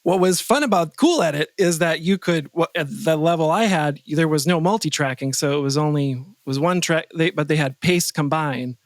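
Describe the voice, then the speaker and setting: A man's voice: on the high side, no echo. An American man talking casually as a podcast guest, rambling and playfully breaking off his own thoughts. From Wisconsin, United States. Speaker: podcast guest, composer and sound designer. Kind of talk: casual interview conversation.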